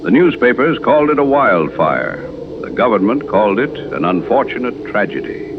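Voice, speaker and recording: An actor playing an ominous old small-town priest, slow and knowing, telling a story in a radio horror drama. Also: male voice, mid-range voice, very animated. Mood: grave ominous. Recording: dull lo-fi radio sound, clear noise.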